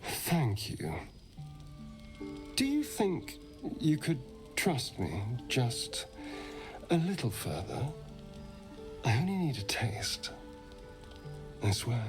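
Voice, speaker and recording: A man's voice: mid-range voice, big pitch swings, takes it slowly, long pauses, over music. A man playing a sly, hungry vampire in a video game, with a theatrical, coaxing delivery. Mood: earnest, coaxing. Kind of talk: video game character acting.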